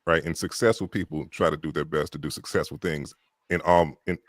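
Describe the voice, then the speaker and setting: Deep-bass male narrator as live-chat guest, relaxed and rambling, dry close recording. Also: brisk, dry recording. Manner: loose, rambling, peppered with "you know" and "right".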